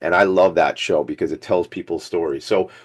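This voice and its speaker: A man hosting a radio talk show with a slightly hoarse, scratchy voice, talking in an energetic, rambling, hype-man way. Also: low-pitched, brisk. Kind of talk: casual hype radio chat.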